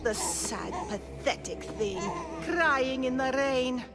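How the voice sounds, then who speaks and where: A woman's voice: sits high, slow pace, over loud music. A woman playing a cruel, gloating cult priestess in a video game, with a menacing, theatrical delivery. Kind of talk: dramatic video game voice acting.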